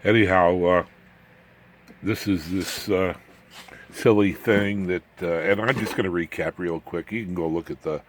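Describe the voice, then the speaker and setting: A man's voice: deep voice, fairly even intonation, unhurried, slight background noise. A man giving an informal, rambling hands-on electronics walkthrough in a folksy way with dry humor, recorded on a handheld camera. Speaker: electronics hobbyist host. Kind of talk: informal workshop walkthrough, explaining as he goes. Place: indoor home electronics workbench. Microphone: handheld camera or phone mic, off screen.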